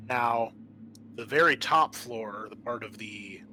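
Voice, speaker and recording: A man hosting a tabletop roleplaying game as game master, narrating off the cuff and pausing to think aloud. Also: medium pitch, bright, forceful tone, slight background noise, poor audio.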